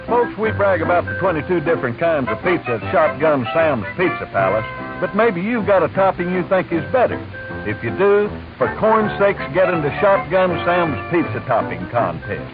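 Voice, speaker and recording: A man's voice: on the high side, big pitch swings, moderate pace, pauses often. A man reading a folksy, upbeat radio ad. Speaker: ad announcer. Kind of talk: radio ad read. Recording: lo-fi radio ad with music.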